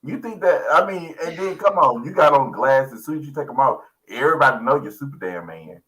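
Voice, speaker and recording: A man's voice: medium pitch, animated, fairly quick, a bit bright, low-quality recording. A man co-hosting a casual chat in a joking, questioning and insistent way. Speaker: guest co-host.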